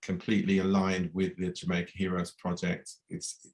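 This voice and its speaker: A man from the UK hosting an online community event, speaking in a measured way with repeated restarts. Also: low-pitched, monotone, darkish tone. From United Kingdom. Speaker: host.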